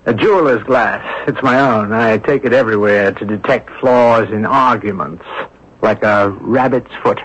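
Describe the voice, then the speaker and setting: An actor playing a shrewd, self-assured sleuth in a radio drama, speaking with deliberate, wry formality. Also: male voice, on the low side, fairly bright tone, a little hiss or hum, lightly acted. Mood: wry, confident. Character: shrewd, self-assured male sleuth calmly cornering a swindler. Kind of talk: old-time radio drama acting. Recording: dull lo-fi radio recording.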